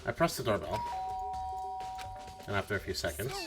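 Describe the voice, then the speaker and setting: A man's voice: fairly low pitch, sing-song, normal speed, over loud music. A man voicing an earnest, suspicious young detective, questioning and narrating in an amateur video game dub. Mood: thoughtful. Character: earnest, suspicious teenage boy detective narrating his investigation.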